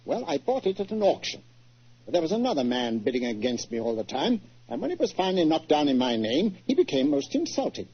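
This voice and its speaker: An actor playing a worried, blustering titled English art collector with a British accent and gruff, hemming speech, in 1940s radio style. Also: male voice, on the high side, sing-song, fairly quick, heavily acted. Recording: muffled old 1940s radio recording.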